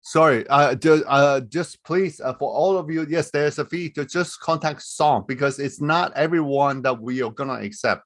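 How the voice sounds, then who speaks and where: A man's voice: on the high side, normal speed, few pauses. A man presenting a live online webinar as a recruiter, explaining things in a loose, conversational way over a video call.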